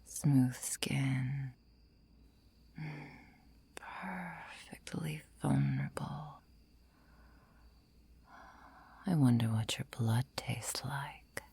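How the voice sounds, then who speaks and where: A woman playing a seductive, menacing undead vampire in an intimate ASMR roleplay, coaxing and softly threatening, often slipping into whispers. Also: expressive, extremely slow, heavily acted. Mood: sultry, predatory. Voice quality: low, breathy, creaky.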